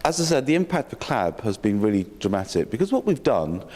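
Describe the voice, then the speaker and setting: A man with a slightly croaky voice giving a welcome speech at a health sector session, with dry self-mocking humour and many ums and restarts. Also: on the low side, very animated, a little fast, mellow. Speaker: opening speaker at a health sector learning session. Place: conference hall. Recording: long hall reverb.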